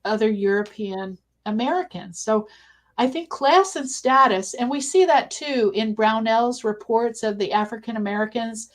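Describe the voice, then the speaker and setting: Female researcher as interview guest, higher-pitched voice, conversational, thinks aloud with halting phrases. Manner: thoughtful, halting delivery.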